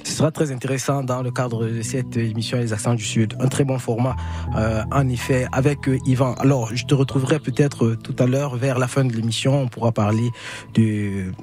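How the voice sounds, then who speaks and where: A man hosting a radio show from a studio, presenting in a measured way with frequent hesitations. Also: medium pitch, steady tone, a little mellow. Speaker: radio host. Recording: radio broadcast with music behind. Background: music.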